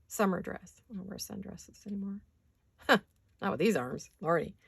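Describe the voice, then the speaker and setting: A woman with a raspy voice from allergies, chatting casually to viewers as she crafts in her home studio, often talking to herself and slipping into whispers. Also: mid-range voice, normal speed, no echo. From California. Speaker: craft vlogger. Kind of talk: casual craft chat while working.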